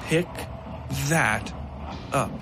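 A man's voice: mid-range voice, very slow, noisy background. Man voicing a courtly, commanding otherworldly lord. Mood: cold, commanding. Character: large, mysterious, courtly supernatural male lord of memories.

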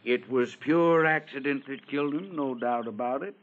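A man's voice: mid-range voice, moderate pace, lightly acted. A male actor playing a folksy, stubborn American small-town police chief in a mid-century radio drama, insistent and gossipy. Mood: blustery, insistent. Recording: tinny, narrow-band old radio recording.

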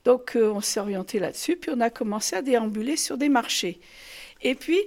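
Female artist guest, higher voice, casual, halting explanations with repeated words. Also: animated, a little mellow. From France. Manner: hesitant, often repeating words as she explains. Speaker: puppet maker and artist, interview guest. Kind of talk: casual interview.

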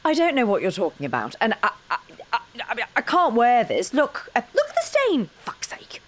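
A woman's voice: a little high, huge pitch swings, moderate pace. An actress playing an anxious, defensive woman with a blunt, sarcastic, frantic manner in an audio drama. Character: anxious, defensive, sweary woman dreading a party.

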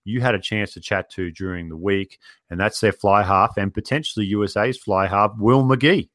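A man's voice: on the low side, normal speed, fairly bright tone, dry recording. A man hosting rugby studio commentary with jokey, promotional asides. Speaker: studio host.

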